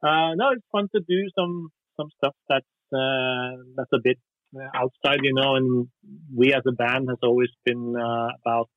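A Norwegian musician talking casually in an interview, hesitant, with lots of fillers and restarts. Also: male voice, medium pitch, normal speed, no echo. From Norway. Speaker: musician guest. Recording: dry tinny phone line.

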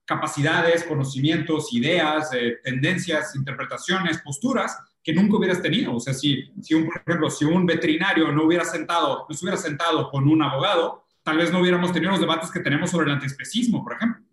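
A man's voice: a little high, fast, hardly any pauses, fairly bright tone. Man, reflective, roomy echo. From Brazil. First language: Portuguese. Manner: reflective, explains ideas with examples. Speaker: podcast co-host, designer. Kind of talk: casual podcast conversation.

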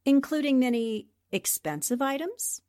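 A woman plays a poised, inquisitive girl reporter in an old-time radio mystery. Her voice is fairly high and very expressive as she probes politely. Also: a little slow. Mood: politely curious. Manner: polite, probing questions.

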